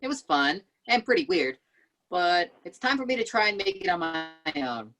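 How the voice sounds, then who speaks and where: A woman's voice: lowish voice, big pitch swings, normal speed, a bit bright, slight background noise. A woman reading a character's dialogue expressively at a script table read.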